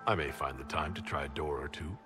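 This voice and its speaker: A deep-voiced, guarded fantasy leader. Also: male voice, a little fast, over music. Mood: guarded, questioning. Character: composed, wary male leader of the Inquisition. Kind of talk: fantasy game cutscene acting.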